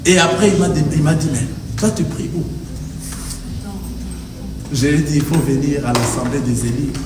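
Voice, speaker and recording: A man's voice: on the high side, moderate pace. A man preaching a sermon as pastor, emphatic and rhythmic, with the congregation answering. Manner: emphatic preaching with call-and-response. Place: church hall. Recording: echoey hall, distant mic, faint noise. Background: congregation answering amen.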